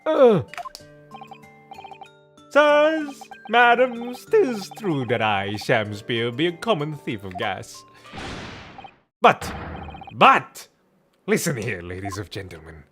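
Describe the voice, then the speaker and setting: A pompous stage-actor rogue from a video game. The voice leaps high and swoops dramatically through archaic, grandiose declamations, with music behind it. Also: male voice, huge pitch swings, slow, long pauses, fairly bright tone, full character voice. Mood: grandiose, indignant. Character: theatrical, pompous thief actor witness declaiming in mock-Shakespearean English. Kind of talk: video game character voice reading.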